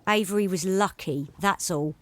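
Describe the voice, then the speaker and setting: A woman's voice: medium pitch, moderate pace, no room sound, close to an everyday voice. An actress playing a stern, no-nonsense pirate woman in a musical audio drama, firm and dry. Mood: firm, irritated.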